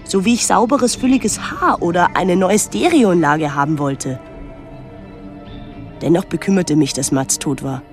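An actress playing a pensive, detached young woman, narrating and talking in a literary radio drama in a measured, reflective way. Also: on the low side, natural voice. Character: pensive young woman recalling her island summer, detached, introspective. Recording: music under the voice. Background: music.

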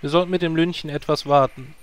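A man's voice: a little high, steady tone, fast talker, smooth, close to an everyday voice. A man voicing an earnest, long-winded male druid hero in a fan audio drama. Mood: grandiose. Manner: earnest, grandiloquent.

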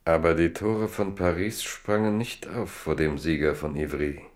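Narrator: male, very low register, scripted literary storytelling for radio drama. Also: moderate pace.

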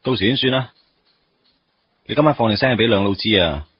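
A low, husky Hong Kong Cantonese voice from a male actor playing a wistful young office man in a radio drama. His pitch moves freely as he drifts between absent-minded musing and everyday chat. The recording is slightly muffled. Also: expressive, a little fast, slight background noise, natural voice. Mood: casual, brisk. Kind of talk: Cantonese radio drama acting with inner monologue.

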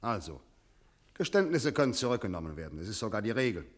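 A man's voice: on the low side, sing-song, fast. An actor playing a stern, cold prosecutor and father in a radio play, clipped, legalistic and condescending. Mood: pedantic. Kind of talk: German radio play acting.

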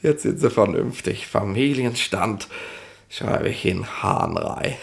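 An actor playing a jovial, overbearing police inspector in a 1960s German radio play, talking down in a rambling, colloquial, gravelly voice. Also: male voice, fairly low pitch, huge pitch swings, slow pace, exaggerated voice. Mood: smug, jovial. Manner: colloquial, rambling, patronising, chuckling bureaucrat.

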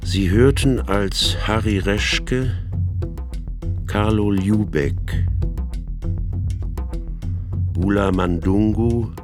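A man's voice: fairly low pitch, drawn-out words, pauses often. A man reading radio drama credits as a formal, scripted announcement. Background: guitar music.

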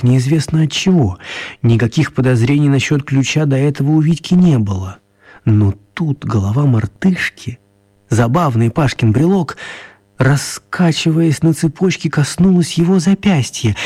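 A man narrating a children's radio story in a wry, confiding way, with expressive, deliberate phrasing and dramatic pauses. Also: mid-range voice, breathy edge. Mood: suspenseful.

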